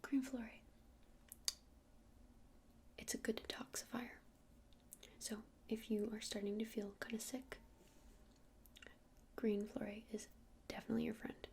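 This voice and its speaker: A woman whispering softly and breathily in an ASMR roleplay as an easygoing witch shopkeeper, casual and a little hesitant. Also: fairly high pitch, steady tone, measured pace, creaky, pauses often. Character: friendly, easygoing witch running a crystal shop.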